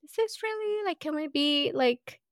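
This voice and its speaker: A woman entrepreneur speaking as a podcast guest, earnest and reflective, often pausing on fillers. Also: high-pitched, lively intonation, no echo, clean studio sound. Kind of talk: casual interview conversation.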